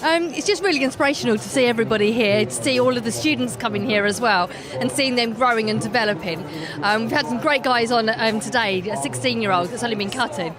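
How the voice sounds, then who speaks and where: A woman's voice: a little high, quick pace, crowd noise. A woman guest at a hair trade show, speaking with enthusiasm about the event. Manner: enthusiastic, praising. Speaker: industry guest. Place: busy trade show hall. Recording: music playing at a trade show.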